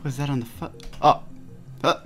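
A man's voice: a little high, steady tone, fairly quick. A man hosting a let's play, reading a visual novel aloud with casual asides and stumbling over the text. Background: game music.

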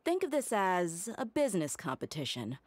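An actress playing a cool, taunting female mercenary who speaks calmly and mockingly. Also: fairly low pitch, moderate pace, faint music behind. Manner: calm, mocking.

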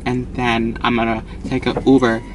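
A man's voice: medium pitch, monotone, moderate pace. Young New man vlogging in a noisy car. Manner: chatty, rambling, slangy, repeats and circles back. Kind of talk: casual vlog chat. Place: inside a car at night. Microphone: camera mounted on the dashboard. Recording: noisy car cabin, distant camera mic.

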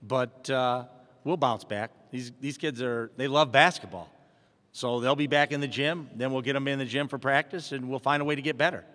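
A man's voice: mid-range voice, moderate pace, fairly bright tone. A middle-aged man, a basketball head coach, answering postgame questions at a press conference in a plainspoken, upbeat way, with many fillers and restarts. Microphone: table mic in front. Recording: long hall reverb.

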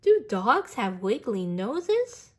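A woman's voice: a little high, very animated, slow pace, slightly rough, pitched above the performer's own voice, exaggerated voice. A woman voicing a sensible, doubting young boy, an older brother in a picture book, reasoning with emphasis. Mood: skeptical.

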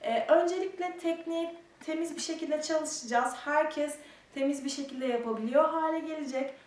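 A young adult woman teaching a mime technique step by step, explaining and demonstrating, at times counting out the movements. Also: high-pitched. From Turkey. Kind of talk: step-by-step instruction. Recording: very echoey room, distant mic, faint noise.

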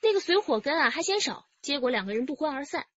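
High, lively female detective. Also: quick pace, muffled recording. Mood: animated, explaining. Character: earnest, orderly female police detective. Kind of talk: radio drama acting.

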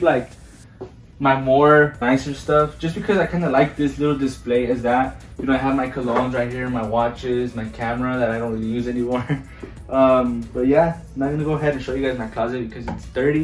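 A man talks casually, as a vlogger showing rooms would, dropping in Spanish words. His voice sits at a medium pitch. It is recorded a little away from the mic in echoey indoor rooms, with music in the background. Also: fairly even intonation, a little fast, distant mic. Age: 20s. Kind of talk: casual house tour vlog, chatty. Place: indoors, small bathrooms and bedrooms of a house. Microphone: handheld camera or phone held by another person, a few feet away. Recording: roomy echo, voice away from the mic.

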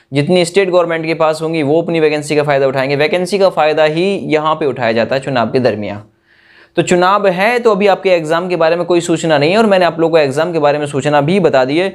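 A young man giving an informal exam news update to camera in an emphatic, colloquial way full of rhetorical questions. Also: a little high. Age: young adult. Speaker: exam coaching YouTuber.

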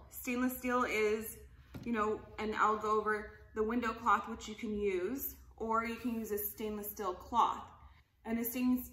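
A woman's voice: a little high, sharp tone, echoey room. A woman in her thirties chatting enthusiastically as she demonstrates cleaning products, laughing often, in a casual home video. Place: living room, indoors, at a table.

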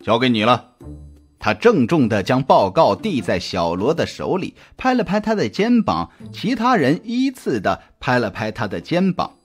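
A man narrates a suspense novel with deliberate, dramatic expression. His voice is husky and sweeps widely in pitch. Soft music runs behind the storytelling. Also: huge pitch swings. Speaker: audiobook narrator. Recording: music under the voice. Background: background music.

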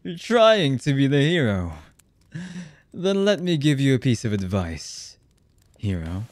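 A male voice actor playing a cold, menacing, commanding young anime villain in an ASMR roleplay, with a husky voice and a deliberate delivery. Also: medium pitch, sing-song, mellow, no room sound. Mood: taunting. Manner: slow, menacing, deliberate with long pauses.

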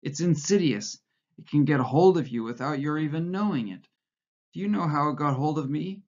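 A man's voice: fairly high pitch, moderate pace, darkish tone, voice pushed up. A man voicing a frightened, fawning middle-aged male prisoner who pleads eagerly and nearly blubbers. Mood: anxious, sanctimonious. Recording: muffled lo-fi recording.

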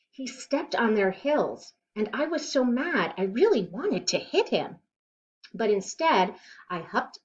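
A middle-aged woman reading a children's picture book aloud in an expressive, deliberate way, recorded indoors at home. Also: mid-range voice, muffled recording. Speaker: children's storybook reader. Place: living room at home, indoors.

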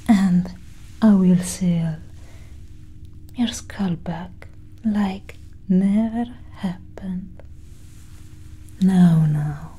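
Woman playing a whispering, slow alien scientist. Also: on the low side, full character voice. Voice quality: whispery, breathy. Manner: slow, hushed, reassuring. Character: calm, condescending female alien scientist, soothing her abductee. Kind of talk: soft-spoken ASMR roleplay. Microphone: close ASMR microphone.

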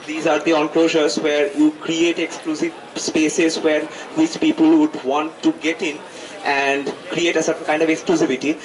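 A man in his twenties with an Indian accent gives a political speech as a student activist, halting and reflective, rephrasing in academic wording. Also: a little high. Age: 20s. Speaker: student activist giving a public address. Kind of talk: political speech, partly improvised. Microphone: handheld microphone held close to the mouth. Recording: distant mic, noisy live event sound.

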